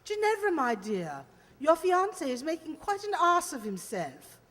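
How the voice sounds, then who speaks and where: A woman's voice: high-pitched, huge pitch swings, unhurried, fairly bright tone, full character voice. A woman playing a hearty, exclamatory upper-class lady in an amateur radio play. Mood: brisk, bossy. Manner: grand, exclamatory society talk. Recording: reverberant hall-like radio play recording.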